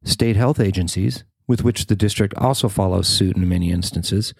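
A man's voice: low voice, moderate pace, a little mellow, dry recording, studio quality. An American man reading a scripted news story as a podcast host and reporter.

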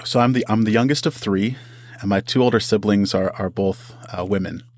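A man's voice: fairly low pitch, fairly quick, a little mellow, dry recording. A man talking casually as an interview guest and writer, with a self-deprecating, good-humoured way of speaking. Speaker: audio drama writer.

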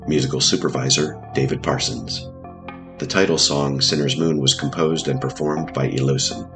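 A man reading scripted programme credits in a measured, formal manner. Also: a bass voice, fairly even intonation. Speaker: credits announcer. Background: music.

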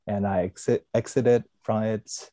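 A man's voice: fairly low pitch, steady tone, unhurried, warm tone, no echo, studio quality. A man answering interview questions in a hesitant way, with many fillers and restarts. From born in Taiwan, raised in Canada. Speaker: podcast guest, food company executive.

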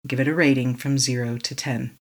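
Calm, slow meditation guide. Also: mid-range voice. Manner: calm, slow, repeating affirmations to be echoed back.

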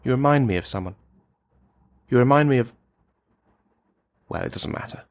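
A man playing a lonely, menacing misogynist in a radio play monologue, speaking in formal, mannered, halting phrases. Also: mid-range voice, expressive, gravelly, no room sound. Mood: wondering.

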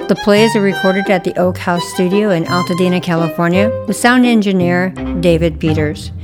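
A woman's voice: fairly low pitch, fairly quick. A woman hosting a theater podcast, reading a scripted announcement. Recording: clear music behind the voice. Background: music with strings.

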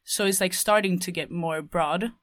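A woman's voice: on the low side, moderate pace, fairly bright tone, no room sound. A young woman from Sweden, a textile design student, chatting casually and hesitantly, with many fillers. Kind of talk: casual chat.

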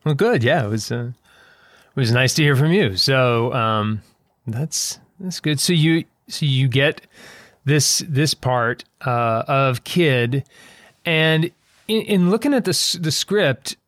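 A man with a mid-pitched voice conducts a casual interview in a very dry acoustic. He builds his questions haltingly, piece by piece. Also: expressive, fairly bright tone, dry recording. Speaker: interviewer, show creator and director.

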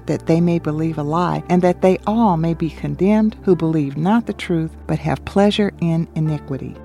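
A woman's voice: fairly low pitch, mellow. A woman co-hosting a scripted radio Bible study, asking earnest questions and reading scripture aloud. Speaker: co-host and Bible study presenter.

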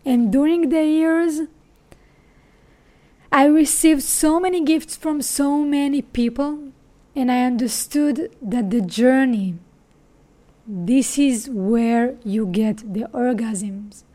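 Israeli woman, high voice, podcast host, emphatic and spiritual monologue. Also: takes it slowly, dark tone. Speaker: sex coach and podcast host. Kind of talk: personal monologue.

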